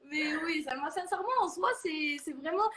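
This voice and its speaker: A woman's voice: high-pitched, moderate pace, a bit bright. A woman in her early twenties with a Moroccan accent, chatting casually and informally in an interview, with slangy filler words and a giggly manner. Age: early 20s. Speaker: international university student giving a testimonial.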